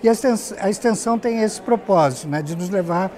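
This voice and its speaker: A man in his sixties with a Brazilian Portuguese accent, giving measured, explanatory interview answers as a professor. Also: high-pitched, darkish tone. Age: 60s. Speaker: interview guest, journalism professor. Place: glass-walled lobby set, seated interview.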